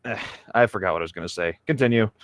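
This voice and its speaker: A man's voice: lowish voice, rapid-fire, no echo. A man co-hosting a comic read-aloud, moving between story narration and casual asides. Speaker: co-host reading stories aloud.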